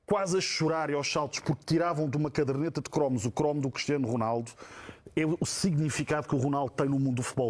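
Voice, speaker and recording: Fast-talking, emphatic Portuguese football pundit. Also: male voice, fairly high pitch. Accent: European Portuguese. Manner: emphatic, repeats phrases for stress. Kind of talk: opinionated panel debate.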